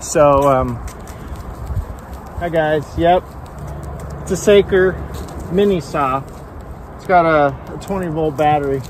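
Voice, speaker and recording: A man's voice: a little high, slow, pauses often, slight background noise. An older man in a casual outdoor tool demo, talking in a friendly, rambling way with repeated words, recorded on a camera mic in an open field. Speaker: vlogger reviewing a tool.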